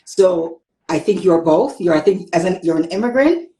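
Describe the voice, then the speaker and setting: A woman's voice: on the low side, lively intonation, moderate pace, dark tone, roomy sound. Chatty woman hosting an interview. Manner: chatty, meandering, many fillers and restarts. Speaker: talk show host. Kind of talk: casual interview chat.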